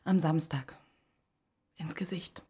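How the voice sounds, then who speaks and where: An actress playing a wounded, defensive wife in a radio drama, answering curtly and evasively with a husky voice. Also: female voice, low voice, slow, mellow, full character voice. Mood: bitter, hurt. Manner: curt, evasive. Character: defensive, wounded wife under police questioning. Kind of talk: naturalistic radio drama dialogue.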